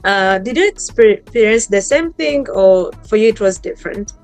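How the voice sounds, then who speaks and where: A woman's voice: mid-range voice, lively intonation, normal speed, soft background music. A woman hosting an interview, asking questions in a chatty way full of "you know" and "um". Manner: friendly, chatty, many fillers like "you know". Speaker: host. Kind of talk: casual interview talk.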